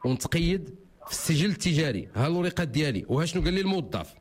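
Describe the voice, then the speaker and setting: A man with a Moroccan accent giving legal advice to callers on a radio call-in show, fast and insistent. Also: fairly high pitch, big pitch swings. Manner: fast, insistent, repeats himself. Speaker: legal expert answering callers.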